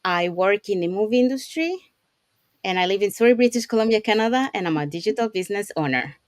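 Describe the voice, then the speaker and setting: A woman from Panama hosts a live online business show over a video call. Her voice is smooth and clear, and the sound is dry and close. She fills her talk with ums and uhs and restarts her sentences. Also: medium pitch, lively intonation, quick pace, no echo. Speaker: host.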